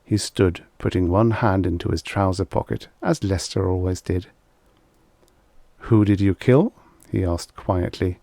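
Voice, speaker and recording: A man's voice: low-pitched, steady tone, moderate pace, gravelly, few pauses, a little mellow, no room sound. A man reading a vintage science fiction short story as scripted audiobook narration.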